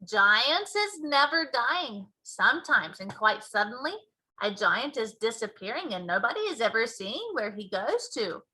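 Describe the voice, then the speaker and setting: Woman voicing an emphatic, high-pitched old friendly giant. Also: very animated, bright, forceful tone, exaggerated voice, low-quality recording. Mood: explaining. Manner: emphatic, drawn-out, mangled grammar and made-up words. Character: old friendly male giant, grand, indignant, muddled speech. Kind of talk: children's storybook read-aloud.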